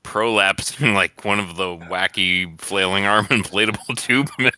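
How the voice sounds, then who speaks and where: A man's voice: deep voice, unhurried, pushed and bright, clear, resonant tone, no room sound. A man co-hosting a casual movie commentary podcast, joking and laughing often. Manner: laughs often, rambling jokes. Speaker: podcast co-host.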